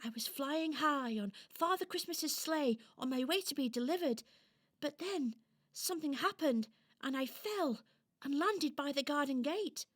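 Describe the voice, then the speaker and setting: A woman's voice: sits high, lively intonation, unhurried, fairly bright tone. A woman voicing a timid, apologetic little toy in a children's radio play, earnest and hesitant. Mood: earnest, anxious.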